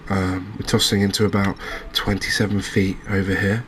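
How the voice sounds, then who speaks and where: A man giving gentle, unhurried ASMR commentary as he plays a game, pausing and hesitating as he goes. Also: low-pitched, flat delivery, slight background noise. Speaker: host of a gaming channel. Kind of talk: ASMR let's-play commentary.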